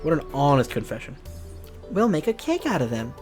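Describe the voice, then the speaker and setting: Brisk female camp leader, read by a man, bossy and teasing, game dialogue over faint music. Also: on the high side, very animated. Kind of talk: visual novel character reading. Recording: music behind the voice.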